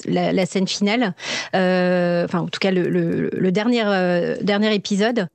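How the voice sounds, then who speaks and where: A woman's voice: lowish voice, fairly even intonation, a little slow, creaky, a little mellow, no room sound. A woman hosting an interview in casual, informal chat, with hesitant phrasing as she thinks aloud. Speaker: podcast host and interviewer.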